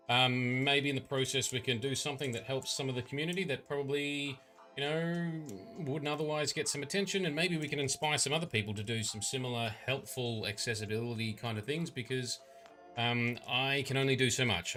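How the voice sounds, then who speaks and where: A man hosting a relaxed live stream, chatting casually with lots of fillers about his mod making. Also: mid-range voice. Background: faint radio music.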